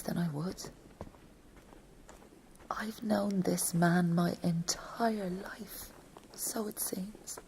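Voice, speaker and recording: An actress playing a grieving mother in an audio drama monologue, wistful, quietly stoic and often hushed. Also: on the low side, a little hiss or hum, low-quality recording. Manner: slow, reflective, often hushed to breathy near-whisper. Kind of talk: intimate audio-play monologue.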